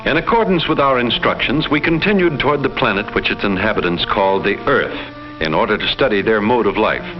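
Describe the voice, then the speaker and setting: An actor playing a detached alien explorer, reporting on human life in formal, measured 1950s educational film narration. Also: male voice, on the low side, animated, natural voice. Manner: formal, measured, clinical phrasing. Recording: muffled vintage film sound, music behind. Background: loud music.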